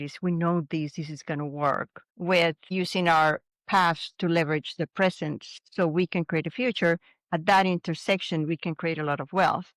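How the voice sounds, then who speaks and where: A woman's voice: fairly low pitch, a bit bright, no echo. Reflective Venezuelan-born woman in her sixties. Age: 60s. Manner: reflective, with frequent restarts. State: subdued, grieving a recent loss. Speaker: guest. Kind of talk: casual interview talk.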